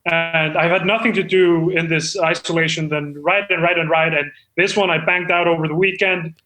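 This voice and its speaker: Guy doing a nervous wannabe screenwriter, highish bright sharp voice, rambling and earnest, improv comedy. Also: steady tone, moderate pace, low-quality recording. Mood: eager, excited. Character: eager, insecure amateur screenwriter, anxious for a break. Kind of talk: improvised comedy podcast role-play.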